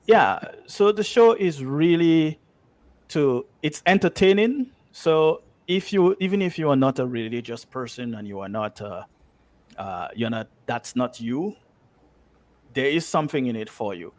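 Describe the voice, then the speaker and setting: A man with a mid-pitched voice talks as a guest writer in an interview. He is conversational and emphatic, drifting into anecdotes and circling back over his phrases. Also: expressive, unhurried, darkish tone, no room sound.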